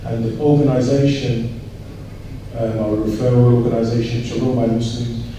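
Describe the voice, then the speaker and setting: A man talks at an event from some distance off the mic, in a heavily echoing room with clear background noise. His delivery is earnest and reflective, fairly flat in melody, with pauses and repeated words as he gathers each point. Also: mid-range voice. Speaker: panel speaker. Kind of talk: panel discussion remarks. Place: large echoey indoor event room.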